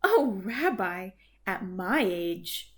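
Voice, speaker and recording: A woman's voice: medium pitch, very animated, very slow. A woman voicing a kindly, chatty old woman who fusses over her guest in a children's storybook. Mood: gently fussing. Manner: hospitable, fussing, exclaiming. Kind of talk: children's storybook read-aloud.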